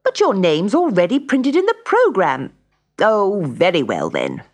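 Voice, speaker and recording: A woman voicing a brisk, strict female piano teacher, emphatic and proper, in a children's story. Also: a little high, wildly swooping pitch, pitched above the performer's own voice, heavily acted. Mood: disapproving.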